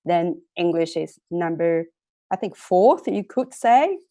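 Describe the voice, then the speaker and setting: A woman introduces herself on a panel in a playful, chatty way. Her voice sits lower than most women's. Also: animated, takes it slowly, mellow. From southern China. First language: Mandarin.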